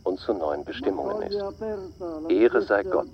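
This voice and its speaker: An actor playing a solemn, grandiose Pope who declaims a ceremonial broadcast speech in a radio play. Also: male voice, low voice, animated, unhurried, lo-fi sound, noisy background.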